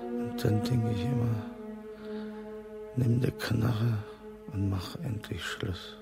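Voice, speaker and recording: An actor playing a bitter, brooding man close to snapping, in a radio play, gravelly voiced. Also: lowish voice, fairly even intonation, takes it slowly, music in the background.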